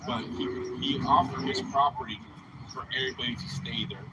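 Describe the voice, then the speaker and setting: A man making quiet, halting remarks in a crowd outdoors. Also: mid-range voice, steady tone. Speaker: convoy participant. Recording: distant voice in loud outdoor noise.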